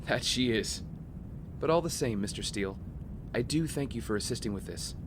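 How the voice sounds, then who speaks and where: An actor playing a wealthy young heir, polite, smooth-talking and guarded, in audio drama dialogue. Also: male voice, mid-range voice, lively intonation, moderate pace, noisy background, lightly acted. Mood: earnest, persuasive.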